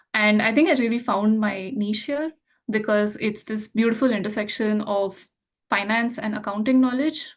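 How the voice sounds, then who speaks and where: A young woman from India talks as a podcast guest, earnest and reflective, often pausing on small fillers. Her voice sits at an ordinary middle pitch for a woman. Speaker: podcast guest, finance professional. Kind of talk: casual interview chat.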